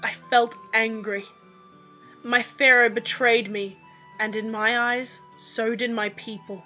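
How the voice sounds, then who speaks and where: Female story narrator, higher-pitched, dramatic and unhurried, dull lo-fi recording over music. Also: fairly even intonation, bright, forceful tone. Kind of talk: scripted first-person story narration. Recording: dull lo-fi microphone sound.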